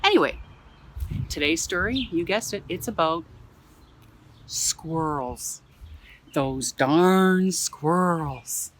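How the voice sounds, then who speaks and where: A woman from Canada reading a children's picture book aloud in her backyard for a museum, playful and expressive, with exclaimed asides. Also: fairly low pitch, slow pace, long pauses, a little hiss or hum. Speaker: museum storyteller. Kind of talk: children's storybook read-aloud. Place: own backyard.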